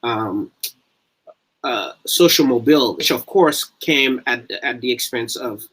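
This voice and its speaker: A man speaking as an academic panelist, choosing formal words in a hesitant, searching way with frequent pauses. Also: mid-range voice, a bit bright, husky, rough recording. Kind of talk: scholarly panel discussion.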